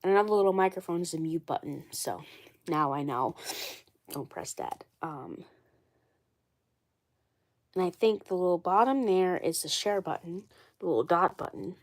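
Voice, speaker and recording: A woman in her twenties chatting casually on a livestream, hoarse and froggy from a cold, rambling and repeating herself. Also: lowish voice, lively intonation, moderate pace, long pauses. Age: 20s. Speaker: doll collector livestreaming.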